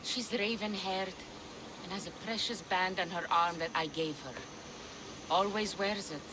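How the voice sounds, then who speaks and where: A woman's voice: fairly low pitch, unhurried, background noise, close to an everyday voice. An actress playing a blunt, sardonic, foul-mouthed female pirate ship captain in a video game cutscene. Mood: fond.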